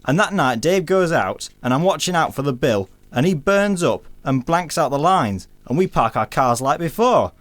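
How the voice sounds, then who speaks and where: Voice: mid-range voice, sing-song, normal speed, few pauses, lightly acted. A performer playing a cheeky working-class English storyteller, chatty and colloquial, acting out neighbours' exchanges in a radio play. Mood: indignant, chatty. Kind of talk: scripted storytelling monologue in a stage-style radio play.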